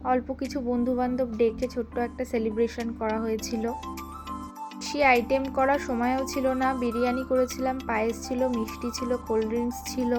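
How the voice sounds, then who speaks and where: A woman's voice: fairly high pitch, flat delivery, fairly quick. A woman narrating her everyday life in a casual vlog style, with music behind her. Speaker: vlogger narrating her day. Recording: music under the voice. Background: background music.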